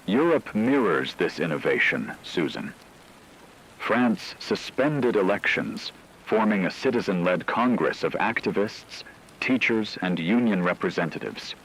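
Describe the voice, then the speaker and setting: A man reading scripted radio news as an anchor, with a formal, measured delivery. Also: deep voice, sing-song, fairly bright tone, a little hiss or hum, rough recording.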